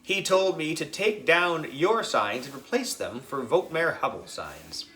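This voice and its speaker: A man voicing an excitable, cheeky third-grade boy in a children's story, exclaiming and emphatic. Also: fairly high pitch, a little slow, pitched above the performer's own voice, exaggerated voice. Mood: defensive.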